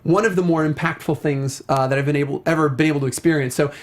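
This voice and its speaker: A high-voiced American man co-hosts a casual talk show. He speaks effusively and enthusiastically, in long, winding sentences that he often breaks off and restarts. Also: fairly even intonation, quick pace. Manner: rambling, self-interrupting, effusive. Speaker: podcast co-host.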